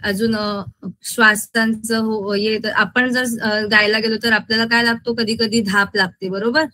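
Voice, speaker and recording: A woman's voice: fairly high pitch, flat delivery, moderate pace, pushed and bright, dry recording. A woman school science teacher with a Maharashtrian accent, lecturing interactively with English terms mixed in and often asking check questions as she explains.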